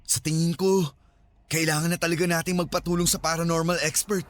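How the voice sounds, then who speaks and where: A man's voice: fairly high pitch, level tone, brisk, natural voice. A man with a Filipino accent playing a young vlogger boyfriend, earnest and uneasy, in a radio horror drama. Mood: frightened, wary. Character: young male vlogger boyfriend, persuasive, uneasy but protective.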